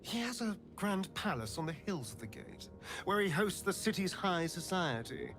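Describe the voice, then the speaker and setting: An actor playing a sly, brooding vampire spawn rogue in a fantasy video game, with a smooth, sardonic delivery. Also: male voice, high voice, sing-song, moderate pace, music in the background. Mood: scheming. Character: wry pale vampire spawn rogue man, sly and haunted.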